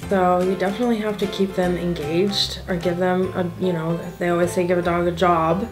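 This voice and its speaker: A woman's voice: on the low side, level tone, brisk. A woman in her forties, seated at a table indoors, chatting casually in an interview with an upbeat, easy manner and frequent ums. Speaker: event co-founder. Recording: soft music bed underneath.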